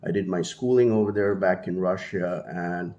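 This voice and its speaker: A man's voice: sits low, normal speed, warm tone, muffled recording. A man in his thirties from India, whose first language is Hindi, talking casually to camera in a small room, rambling with many fillers and restarts. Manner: gestures with one hand, holding a small mic to his mouth. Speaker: vlogger. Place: small room at home, indoors. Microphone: handheld mini mic held at the mouth.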